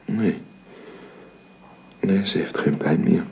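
An actor playing a gentle, hesitant, recently widowed father in a radio play, grieving but tender with his child. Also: low-pitched, gravelly, husky, exaggerated voice. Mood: gentle, sorrowful. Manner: hesitant, halting. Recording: radio play recording with faint noise.

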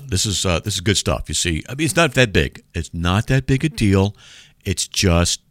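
A man with a deep voice hosting a call-in radio show, joking and sarcastic as he reads out mail. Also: lively intonation, normal speed, dry recording, clean studio sound.

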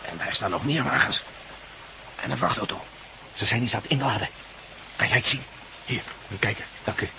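A man's voice: medium pitch, moderate pace, gravelly, pauses often, lightly acted. An actor playing a determined, watchful male landowner in a radio drama, giving terse, short observations. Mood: tense, watchful. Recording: noisy radio play, outdoor ambience.

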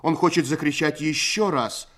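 Measured male radio-play narrator. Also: a little high, fairly bright tone. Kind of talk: scripted literary narration for 1970s Soviet radio play.

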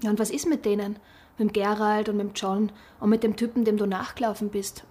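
A woman's voice: mid-range voice, little pitch movement, brisk, mellow, lightly acted. An actress playing a thoughtful woman asking probing questions, understated, in a naturalistic radio drama. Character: thoughtful, questioning woman listening to an older friend.

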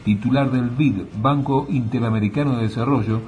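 A male newsreader delivers a radio bulletin in a measured, formal tone. His voice is mid-pitched and mellow. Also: soft background music. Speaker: news reader. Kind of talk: read radio news bulletin.

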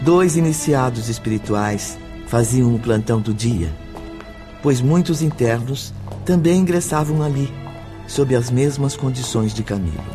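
A man narrating a radio drama in a measured, scripted way. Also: mid-range voice. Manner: slow, measured. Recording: radio drama with faint music.

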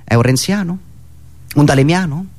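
A man with a Roman Italian accent performing an ironic, theatrical satirical monologue, swinging between muttering and loud declaiming. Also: a little high, moderate pace. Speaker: satirical monologue performer and radio show host.

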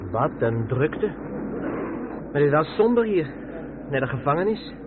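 An actor playing an anxious, naive driver's mate in a radio play, questioning and exclaiming. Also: male voice, a little high, sing-song, normal speed, phone-quality sound. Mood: uneasy. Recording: thin old radio recording, very loud background noise.

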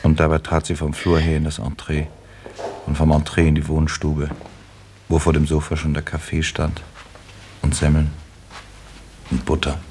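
A man narrating a literary German radio play as a calm, detached storyteller. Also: extremely low pitch, normal speed, long pauses, a little hiss or hum.

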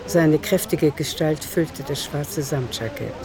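A woman narrating a literary radio play in the third person, with measured composure. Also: sits low, a crowd in the background. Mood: composed.

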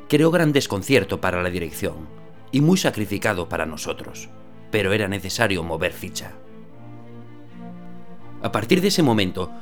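An actor playing a weary shipyard worker giving sober testimony over a clandestine radio link in a radio drama, with a Spanish accent. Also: male voice, fairly low pitch. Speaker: shipyard worker interviewed by phone.

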